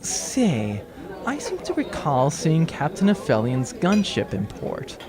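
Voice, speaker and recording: A man playing a composed, secretive space rogue in a sci-fi audio drama, dry and courteous in a measured way. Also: on the high side, huge pitch swings, exaggerated voice. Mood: dry, probing. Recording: voice over background music.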